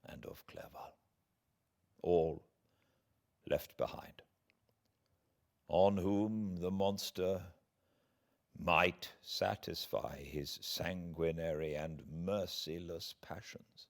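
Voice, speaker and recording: A man with a British accent reading classic literature aloud as an audiobook narrator, in a theatrical, deliberate way with dramatic pauses. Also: low-pitched, fairly even intonation, vocal fry. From Britain.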